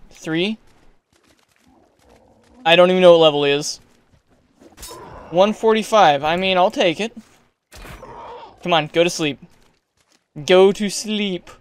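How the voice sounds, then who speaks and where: High, smooth-voiced young gaming streamer. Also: male voice. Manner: chatty, excitable, yells at creatures. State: hoarse, losing his voice. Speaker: gaming YouTuber. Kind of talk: casual gameplay commentary.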